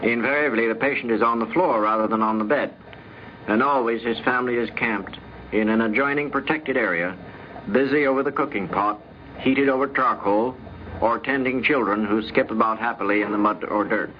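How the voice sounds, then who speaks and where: A man reading a scripted, reflective account aloud in a formal, deliberate manner. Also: mid-range voice. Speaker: diplomat giving a recorded account. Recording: dull, noisy vintage radio recording.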